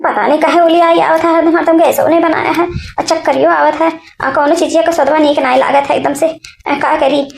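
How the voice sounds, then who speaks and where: A woman's voice: high voice, fairly even intonation, fairly quick, vocal fry. A woman voicing a fussy, affectionate rural sister-in-law in a family cartoon, chatting colloquially and repetitively with an eastern Uttar Pradesh accent. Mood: unwell, worried. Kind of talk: cartoon family comedy dialogue in dialect. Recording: roomy echo, noticeable background noise.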